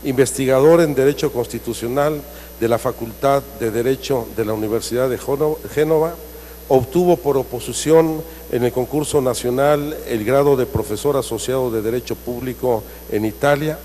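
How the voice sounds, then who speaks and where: A man's voice: mid-range voice, moderate pace, dark tone. A man introducing a guest speaker at a formal seminar, hesitating and correcting himself often. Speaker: seminar moderator. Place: large hall in the Senate. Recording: distant echoey hall with faint noise.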